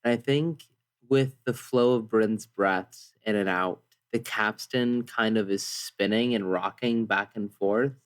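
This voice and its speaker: A man's voice: fairly low pitch, unhurried. A man playing a tabletop game, improvising descriptive narration of what his character does.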